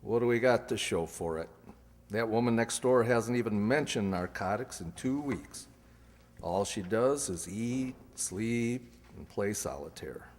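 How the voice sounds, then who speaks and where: A man's voice: on the low side, expressive, unhurried, a little mellow. A man acting in an old-time radio police drama as a weary, sour detective grumbling on stakeout. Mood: grumbling, fed up. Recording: long reverb, hall-like room.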